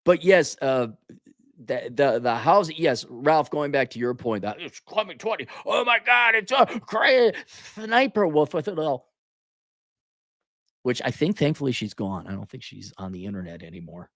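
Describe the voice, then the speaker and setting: Animated man ranting off the cuff. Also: fairly high pitch, normal speed, no echo, clean studio sound. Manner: animated, exasperated, sarcastic. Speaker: online commentary host answering viewer comments. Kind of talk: off-the-cuff opinionated livestream rant.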